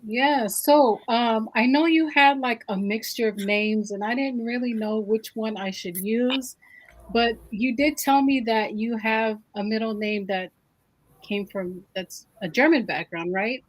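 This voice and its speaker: A woman's voice: fairly high pitch, measured pace, poor audio. A woman hosting a live-stream interview, friendly and chatty, with many fillers. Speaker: host.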